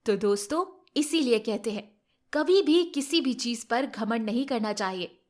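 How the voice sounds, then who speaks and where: A woman tells children's moral stories in a high-pitched voice. Her delivery is scripted narration with lively, animated swings in intonation. She sounds like a storyteller addressing young listeners. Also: a little slow.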